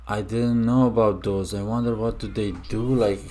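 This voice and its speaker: A man's voice: fairly low pitch, steady tone, normal speed, darkish tone. A young man from Romania hosting a casual reaction video, musing aloud with many fillers and restarts.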